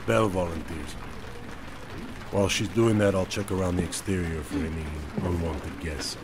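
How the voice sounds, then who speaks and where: A man playing a calm, steady covert field operative in a video game, unruffled and matter-of-fact. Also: sits low, normal speed, background noise. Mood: businesslike. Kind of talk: video game cutscene acting.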